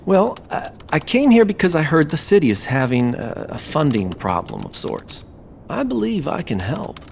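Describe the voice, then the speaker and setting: An actor playing a scheming, flattering businessman with a polished, persuasive delivery in an audio drama. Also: a little high, normal speed, creaky, a little hiss or hum, heavily acted. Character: smooth, scheming businessman pitching grand plans, flattering.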